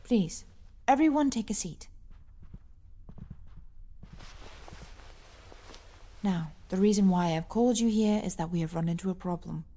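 Lady playing a rebel commander in an audio drama, formal, measured, middle pitch. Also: animated, warm tone, natural voice. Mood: brisk, formal. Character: composed, authoritative female rebel commander.

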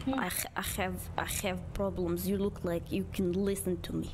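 A woman's voice: on the low side, little pitch movement, moderate pace, music in the background, close to an everyday voice. A young woman streamer voicing a brash, scheming woman hustler who talks in blunt, broken English, recorded on a desk mic with a headset in a small home room. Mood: pleading. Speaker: roleplay streamer. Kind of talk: live game roleplay. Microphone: desk microphone with headset.

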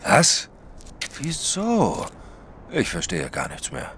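An actor playing an impatient, baffled detective's companion in a German audio drama, excitable, questioning and sceptical. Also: male voice, on the high side, slow pace, slight background noise, full character voice. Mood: exasperated.

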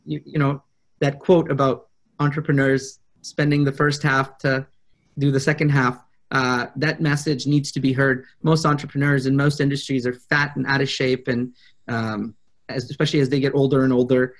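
A man in his thirties speaking as a client on a video call from an office, in a deliberate but blunt, assertive way. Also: medium pitch, little pitch movement. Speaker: prospective coaching client, public speaker. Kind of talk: conversational sales video call. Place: small indoor office. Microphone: video call, computer microphone.

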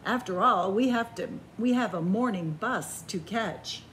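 A woman voicing a gentle, weary elderly male zookeeper with a cold in a picture book read aloud, drawing the words out slowly. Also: medium pitch, slight background noise, natural voice, poor audio. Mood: kindly.

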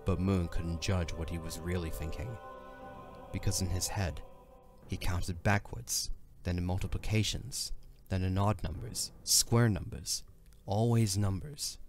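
A man giving a measured, serious narration of a scripted dramatic story. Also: deep voice, steady tone, music in the background.

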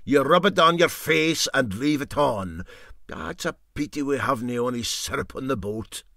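A man voicing a sly, teasing old boat skipper with a Scottish Highland accent and a drawn-out, mock-sympathetic manner in a storybook reading. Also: animated, bright, forceful tone, no room sound, clean studio sound. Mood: sly mock sympathy.

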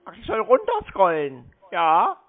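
A person talking slowly and naively on a phone call, sounding confused, literal and repetitive. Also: medium pitch, wildly swooping pitch. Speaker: prank callee stringing along a scam caller. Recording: muffled, band-limited phone call.

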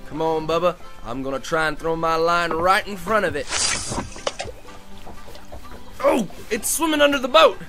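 A man's voice: a little high, brisk, over music, close to an everyday voice. An actor playing a bossy, exasperated redneck fisherman with a Southern US accent in a comedic audio drama, scolding in folksy rural phrases.

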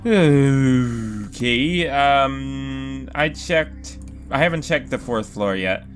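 A man's voice: medium pitch, animated, drawn-out words, faint music behind. A young man casually commenting on a horror game he is playing, rambling, swearing often and talking to himself. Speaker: gaming video host. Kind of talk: casual let's play commentary. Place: home room.

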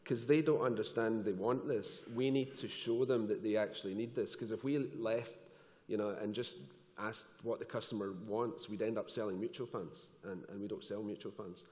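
Joking panelist in a big hall. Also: male voice, on the low side, moderate pace. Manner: relaxed, joking, conversational. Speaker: insurance executive panelist. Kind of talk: conference panel discussion. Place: large conference hall stage. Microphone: headset mic. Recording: long hall reverb.

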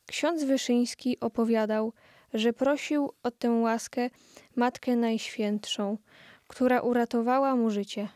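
A woman's voice: on the high side, steady tone, unhurried, darkish tone, no room sound, studio quality. A woman giving scripted storytelling narration in a radio play.

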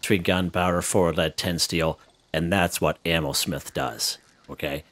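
Deep-voiced man guiding a game tutorial. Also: unhurried. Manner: relaxed, walks viewers through step by step. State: losing his voice. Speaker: gaming channel host. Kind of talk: casual gameplay tutorial commentary.

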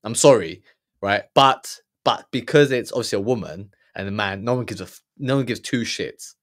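A man's voice: on the low side, normal speed. A man in his thirties giving blunt, heated reaction commentary with lots of stammered restarts, recorded on a studio mic in a small room. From Germany. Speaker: podcast host reacting to a documentary. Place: small room with purple lighting. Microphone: studio mic on boom, earphones.

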